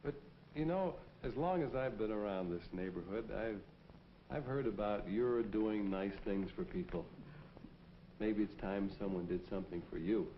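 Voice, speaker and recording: Man playing a low-voiced folksy American policeman, old film. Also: moderate pace, a little hiss or hum, close to an everyday voice. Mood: kindly persuasion. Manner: folksy, earnest, gently persuasive. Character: kindly, earnest neighborhood policeman, a man. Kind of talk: 1940s propaganda film acting and narration. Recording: dull vintage film soundtrack, music behind. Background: music.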